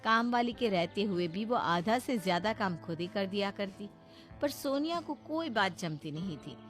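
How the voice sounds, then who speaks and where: A woman's voice: mid-range voice, animated, moderate pace, faint music behind. A woman giving a scripted narration of a moral story.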